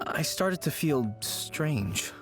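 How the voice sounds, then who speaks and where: A man's voice: on the high side, animated, drawn-out words. An actor playing a gloomy, anxious young man in a video game. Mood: sad, worried. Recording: music playing behind the voice. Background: clear game music.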